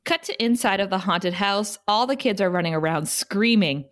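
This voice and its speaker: A woman's voice: mid-range voice, animated, moderate pace, very noisy. A woman narrating stage directions for a scripted table read.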